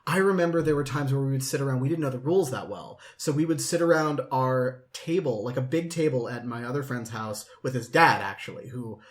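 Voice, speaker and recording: A man's voice: medium pitch, fairly quick, hardly any pauses. A man in his late twenties chatting casually as a podcast host, telling rambling anecdotes. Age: late 20s.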